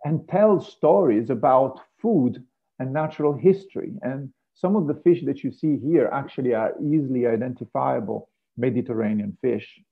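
Gravelly Italian man lecturing over a thin line. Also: mid-range voice, normal speed. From Italy, Rome. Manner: hesitant, many fillers and restarts. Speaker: marine biology professor. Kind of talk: online lecture. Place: home room with a piano. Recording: gravelly, tinny video call audio.

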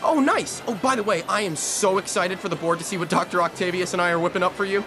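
An actor playing an earnest, anxious, quippy young superhero student in an audio drama. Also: male voice, sits high, fast talker, music in the background. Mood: flustered, eager. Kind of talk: audio drama acting with inner monologue.

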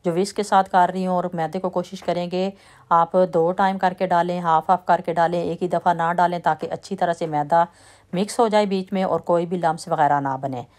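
A woman with a slight lilt, chatting in a relaxed way as she walks through a home baking recipe step by step. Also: lowish voice, moderate pace. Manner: relaxed, chatty, trails off softly at sentence ends. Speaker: home cooking vlogger. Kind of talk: casual step-by-step baking talk.

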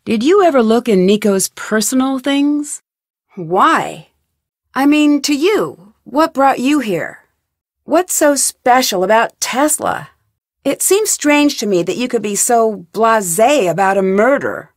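Young female detective, video game dialogue, inquisitive, composed, unhurried, dry close sound. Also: on the high side, animated, no room sound.

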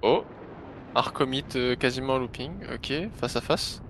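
A man's voice: mid-range voice, measured pace, a little hiss or hum. A man co-commentating a flight simulator tournament, calling the action and counting down missile shots. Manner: energetic play-by-play, counts down shots. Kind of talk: live esports play-by-play.

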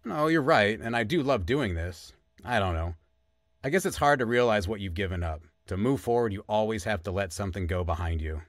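Man playing low, dry-miked wistful musician. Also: expressive, brisk, dry recording, close to an everyday voice. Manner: hesitant, reflective. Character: wistful struggling musician and podcaster, male, around thirty.

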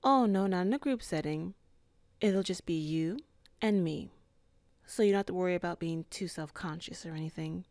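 Cool female boss, woman voicing her, lowish voice, calm and measured, dry close mic. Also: no room sound, close to an everyday voice. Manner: calm, measured, softly flirtatious. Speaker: ASMR roleplay performer. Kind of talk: ASMR roleplay.

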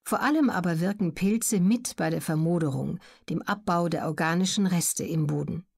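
A woman reading scripted educational narration in a measured, neutral, carefully articulated way. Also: lowish voice, vocal fry, a little mellow, breathy edge. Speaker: audiobook narrator.

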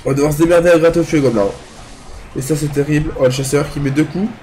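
A man's voice: fairly high pitch, fairly even intonation, racing, warm tone, soft background music. A young man, congested from a cold so he sounds nasal, casually commentating his own mobile gameplay as he thinks aloud. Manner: chatty, thinks aloud while playing. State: congested, talking through the nose from a cold. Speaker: gaming youtuber.

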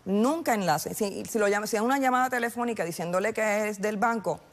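A woman's voice: mid-range voice, a little fast, in a large hall. A woman, a federal agent explaining things in a TV studio interview with lots of restarts and fillers. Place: TV news studio.

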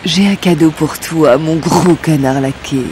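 A flirty robot pop star. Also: female voice, deep voice, measured pace, very noisy. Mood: sly. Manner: sultry, coquettish. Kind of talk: French video game dub. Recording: game audio with music bed.

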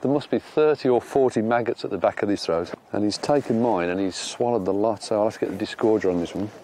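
An older English man co-hosting a fishing show, calmly explaining tackle and technique from a boat on a river. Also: lowish voice, fast, a little hiss or hum. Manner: calm, explanatory. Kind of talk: instructive fishing commentary. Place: small boat on a river outdoors.

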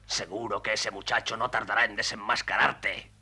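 A man's voice: on the low side, steady tone, moderate pace, sharp tone, slightly rough, heavily acted. An actor in a Spanish cartoon dub playing a sly, suspicious man who hands out mine work, needling and mocking.

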